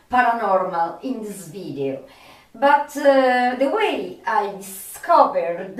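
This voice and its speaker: A woman speaking English as a second language, presenting an unscripted explanatory video in a halting, hesitant way, searching for words. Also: medium pitch. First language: not English. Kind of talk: unscripted explanatory vlog talk. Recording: roomy echo, distant microphone.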